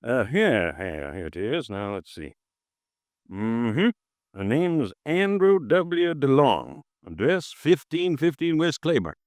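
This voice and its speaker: A man's voice: mid-range voice, big pitch swings, slow pace, dry recording, studio quality. An actor playing an easygoing male local agent, speaking in a folksy, drawling way in a 1950s radio drama.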